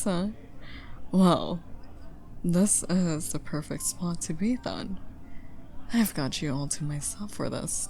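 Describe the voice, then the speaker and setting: A woman's voice: lowish voice, warm tone, a little hiss or hum. A woman playing a flirty, charming stranger at a party in an intimate ASMR romance roleplay, with a teasing, coaxing delivery. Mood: delighted, teasing. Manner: teasing, coaxing, unhurried, often drops to a whisper.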